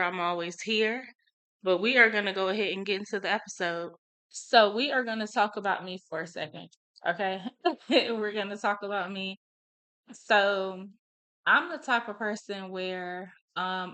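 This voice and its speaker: A young woman hosting a casual solo podcast, chatty and relaxed with many fillers, a little stuffy from a cold. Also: medium pitch, clear, resonant tone. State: congested from a cold. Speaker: podcast host.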